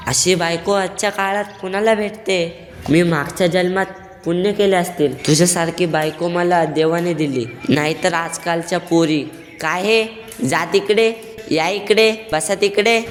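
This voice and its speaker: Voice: mid-range voice, normal speed, faint music behind, lightly acted. A performer playing a flustered young newlywed husband. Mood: pleased. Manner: bashful, flustered, wry asides. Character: bashful, henpecked-seeming young newlywed husband. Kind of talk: comic stage skit acting. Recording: echoey room with long hall reverb.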